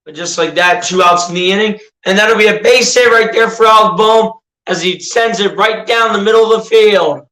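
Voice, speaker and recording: A young man calls the game pitch by pitch on his own livestream, breaking off to chat with viewers. His voice is a little rough but bright and fairly high. The sound rings in an echoey room. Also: normal speed, hardly any pauses. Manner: chats with viewers between calls. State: slightly rough voice. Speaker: livestream play-by-play caller. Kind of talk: amateur play-by-play commentary.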